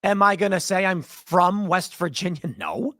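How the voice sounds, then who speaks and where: A man's voice: sits high, lively intonation, unhurried, fairly bright tone, dry recording, studio quality. A man from Chicago delivering an argumentative, emphatic political commentary monologue, often restarting his sentences.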